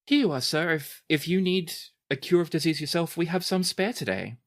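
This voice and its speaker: A man's voice: fairly high pitch, expressive, fairly quick, pitched above the performer's own voice. A man voicing a polite, deferential robed cleric in a tabletop fantasy game. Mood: helpful. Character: courteous, obliging robed cleric offering potions.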